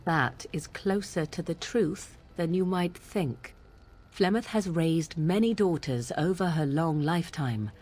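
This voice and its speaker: A woman's voice: lowish voice, unhurried, darkish tone. An actress playing a proud, sardonic young witch in a video game, speaking in formal, archaic phrases with haughty deliberation. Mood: troubled, brooding. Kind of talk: dramatic video game dialogue.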